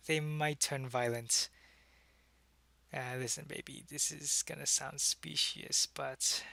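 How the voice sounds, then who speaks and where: A man's voice: medium pitch, vocal fry, bright, forceful tone, no room sound. A man playing an earnest, secretive alien agent in an ASMR roleplay, talking to a human in a hesitant, explanatory way. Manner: hesitant, explanatory, intimate one-sided conversation. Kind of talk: ASMR roleplay, acted monologue to the listener.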